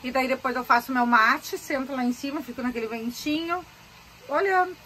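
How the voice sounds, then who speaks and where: A woman's voice: high voice, normal speed, a little hiss or hum, low-quality recording. A woman, chatting to camera in a boat cabin. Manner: chatty, animated, gestures while talking. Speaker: vlogger. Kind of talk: casual chat to camera. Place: small cabin of a sailboat, indoors. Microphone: handheld phone camera at arm's length.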